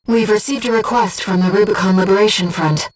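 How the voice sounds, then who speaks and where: An actress playing a gentle, devoted female voice in a pilot's head, a composed and precise mission guide in a video game. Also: mid-range voice, brisk, recorded from a distance, soft background music, lightly acted, poor audio. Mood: composed, focused. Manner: composed, precise, earnest delivery. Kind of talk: video game voice acting, tactical briefing.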